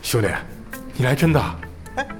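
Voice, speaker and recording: An actor in a radio drama playing a goofy, literal-minded young teammate, blunt and exclamatory. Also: male voice, mid-range voice, wildly swooping pitch, moderate pace, darkish tone, faint music behind. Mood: goofy eagerness. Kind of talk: Chinese radio drama acting.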